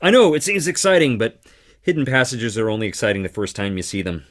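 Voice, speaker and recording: An actor playing a charming, theatrical theater performer with dramatic flair in a video game. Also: male voice, mid-range voice, fast, fairly bright tone, close to an everyday voice. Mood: playful, theatrical. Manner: theatrical, dramatic, swinging between playful and intense. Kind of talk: video game character dialogue.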